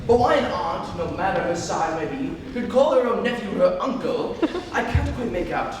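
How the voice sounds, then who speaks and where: A teenage male student playing a flippant, teasing young Victorian gentleman in a dramatic stage reading, with an arch, theatrical manner. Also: sits high, expressive, normal speed, faint music behind. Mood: amused, insistent. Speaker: student actor. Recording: distant, very echoey hall, noisy.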